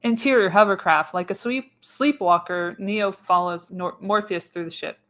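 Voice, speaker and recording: A woman's voice: medium pitch, normal speed, a bit bright. A woman narrating the stage directions of a screenplay in a radio play reading. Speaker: narrator, podcast host.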